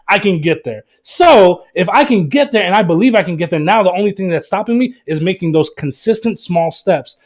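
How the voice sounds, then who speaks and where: A man speaking as a guest on a casual live stream, energetic and emphatic, in a motivational way. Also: high-pitched, a little fast, hardly any pauses.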